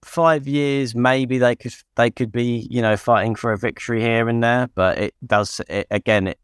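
A man's voice: mid-range voice, steady tone, normal speed, clear, resonant tone, no echo, studio quality. A British man co-hosting a podcast, chatting in an informal, matey way. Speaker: podcast co-host. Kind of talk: casual podcast chat.

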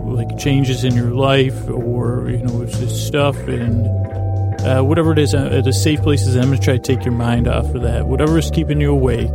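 Male bedtime podcast host: gravelly creak, flat intonation, soothing, halting, tangent-prone rambling. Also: medium pitch. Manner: halting, tangent-prone, circling back on himself. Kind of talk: rambling, meandering bedtime monologue meant to lull listeners to sleep.

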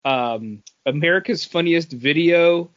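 A man's voice: fairly high pitch, a little slow, fairly bright tone, no room sound, dull audio. A man co-hosting a podcast in casual chat, telling anecdotes and acting out little exchanges as he goes. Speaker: podcast co-host.

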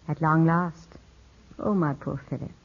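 An actress playing a poised, soothing widowed cousin who coaxes gently, in 1950s radio drama style on an old broadcast recording. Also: female voice, low-pitched, steady tone, measured pace, husky, exaggerated voice. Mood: calm, caring. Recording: muffled 1950s radio broadcast, music behind.

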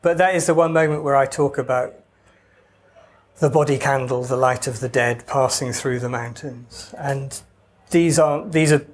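A man's voice: medium pitch, steady tone, normal speed. A man from Wales with no Welsh accent, an author talking casually in an interview, wry and digressive with hesitant restarts. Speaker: author guest.

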